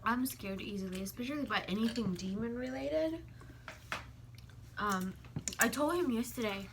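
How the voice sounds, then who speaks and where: Woman, fairly high voice, casual eating chat, teasing, noisy room. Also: normal speed. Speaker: mukbang vlog co-host.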